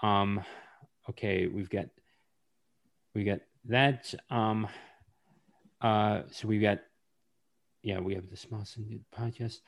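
A man's voice: fairly low pitch, steady tone, slow, creaky, pauses often. A man hosting a podcast interview, speaking hesitantly with frequent fillers. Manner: hesitant, lots of uhs. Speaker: podcast host. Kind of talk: casual interview.

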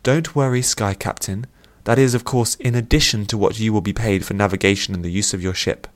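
A man's voice: lowish voice, fairly quick, lightly acted. A man voicing a young princess in an audiobook, poised and playful, with formal, courtly phrasing. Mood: gracious, assured. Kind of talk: audiobook character voice.

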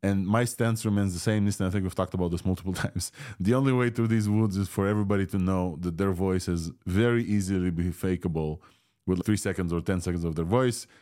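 A man's voice: sits low, fast, warm tone. A man with a Russian accent, a native Russian speaker from Ukraine, hosting a casual, opinionated tech news podcast. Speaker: podcast host.

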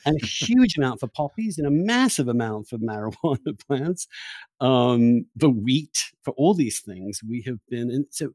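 A man's voice: mid-range voice, very animated, normal speed, darkish tone, no echo. A man hosting an interview, talking in a conversational style. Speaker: interview host.

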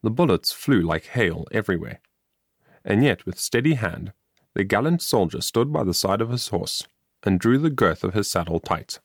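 Low-voiced male audiobook narrator. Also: expressive, normal speed, breathy edge, no room sound, studio quality. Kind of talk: scripted story narration, moralizing tale.

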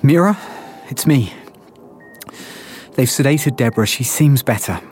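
A man's voice: mid-range voice, lively intonation, unhurried, background noise, lightly acted. An actor playing an anxious, devoted husband comforting his wife in an audio drama. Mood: worried, reassuring. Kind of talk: immersive audio drama acting.

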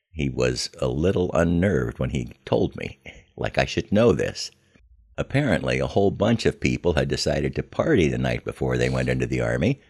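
A man's voice: a bass voice, vocal fry. An older man hosting a podcast, telling personal stories in a conversational way with wry, self-deprecating humor. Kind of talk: conversational first-person storytelling.

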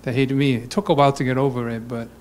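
Halting man from Germany sharing a story. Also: mid-range voice, level tone, darkish tone, slight background noise. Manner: halting, many restarts. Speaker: church group member. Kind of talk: personal story in casual talk.

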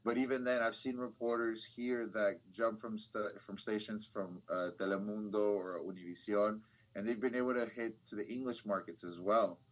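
Low-voiced young man, rambling easily. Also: moderate pace, rough recording. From United States. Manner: relaxed, rambling, many restarts and fillers. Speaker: bilingual TV reporter, guest. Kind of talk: casual interview talk.

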